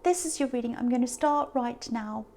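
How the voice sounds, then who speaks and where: Woman tarot reader, high and gravelly, voice hoarse and sore, chatty and rambling. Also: moderate pace, a little mellow. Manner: chatty and meandering, speaking straight to the viewer. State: hoarse, sore voice from talking a lot. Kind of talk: casual tarot reading to camera.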